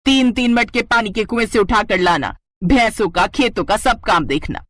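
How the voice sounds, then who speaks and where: A woman's voice: mid-range voice, normal speed, a bit bright, no room sound, clean studio sound, close to an everyday voice. Woman acting as older mother-in-law, indignant, nagging, old-fashioned, cartoon story dialogue. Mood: bossy, scolding.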